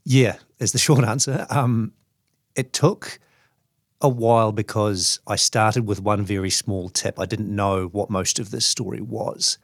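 A man's voice: on the low side, steady tone, measured pace, dry recording, clean studio sound. A man with a New Zealand accent, speaking as a journalist. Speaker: journalist reading his own story.

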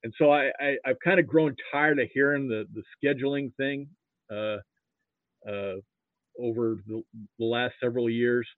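A man's voice: mid-range voice, moderate pace, no room sound. Podcast guest: male American sports fan, conversational and hesitant, heard over a tinny, dry phone-like connection. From Nebraska, United States. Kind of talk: casual sports talk. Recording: muffled phone-like line.

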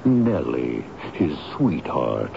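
An extremely deep male voice, slow and unhurried, for a hard-hearted, sardonic tenant. He brushes people off drily in radio-play style. The recording is muffled and noisy. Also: very animated, vocal fry, full character voice. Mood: scornful. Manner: dry, dismissive, sardonic. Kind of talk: 1970s radio drama acting. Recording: dull, noisy old radio broadcast.

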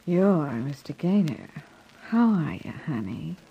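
An actress playing a brassy young starlet, pert and forward, in a noisy 1950s radio recording. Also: female voice, deep voice, big pitch swings, slow, lo-fi sound, heavily acted. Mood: pert, testy. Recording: old radio recording with clear noise.

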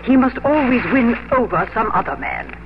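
Shrewd society lady, animated delivery. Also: fairly high pitch, moderate pace. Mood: knowing, sly. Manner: knowing, theatrical, emphatic. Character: shrewd, worldly older society lady, a scheming matchmaker. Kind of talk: 1950s radio drama acting. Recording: muffled, hissy old radio broadcast.

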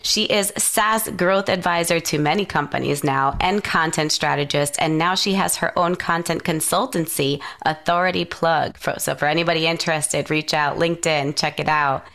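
A woman hosting an interview podcast, her voice raspy from a cold, upbeat and encouraging with her guest. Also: low voice, normal speed, hardly any pauses, fairly bright tone. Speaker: podcast host.

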